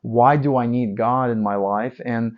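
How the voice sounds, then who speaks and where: A man with a Ukrainian accent speaks straight to the viewer, telling his faith story with earnest conviction. His voice sits in an ordinary middle pitch, and he often stacks repeated words for emphasis between hesitant fillers. The recording sounds a little dull and lo-fi. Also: steady tone, a little slow. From Ukraine, Odessa. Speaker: evangelist. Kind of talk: personal faith testimony to camera.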